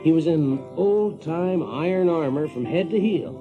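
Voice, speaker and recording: A man's voice: a little high, measured pace, narrow, tinny audio, over music. An actor playing a brash, practical Yankee factory man who tells his tale in a folksy, chuckling way for an audio drama. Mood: amused, boastful. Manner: folksy, chuckling, animated, drawling emphasis. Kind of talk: audio drama first-person storytelling.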